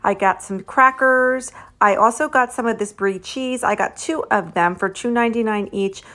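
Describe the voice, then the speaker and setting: A woman's voice: a little high, moderate pace, fairly bright tone. A woman chatting casually through a grocery haul, steadily listing items and what each one cost. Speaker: vlogger.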